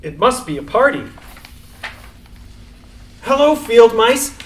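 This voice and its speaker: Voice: medium pitch, expressive, moderate pace, a little hiss or hum, close to an everyday voice. An actor playing a small, naive baby rabbit who is lonely, hopeful and politely earnest. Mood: eager, hopeful. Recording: echoey room.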